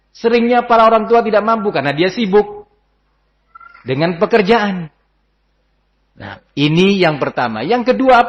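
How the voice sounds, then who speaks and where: An Indonesian man giving an informal religious lecture as an Islamic teacher, conversational and didactic, often posing rhetorical questions. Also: a little high. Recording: dull, lo-fi lecture recording.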